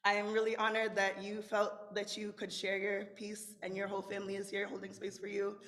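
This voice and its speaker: A young woman from Zimbabwe with a raspy voice, performing spoken word poetry at a lectern microphone in an indoor room with dramatic, rhythmic delivery. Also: medium pitch, completely flat delivery, fairly quick, fairly bright tone. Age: young adult. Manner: dramatic, rhythmic declamation with sweeping gestures. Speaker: featured poet. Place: indoor room with brick wall, standing at a lectern. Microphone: stand microphone at the lectern.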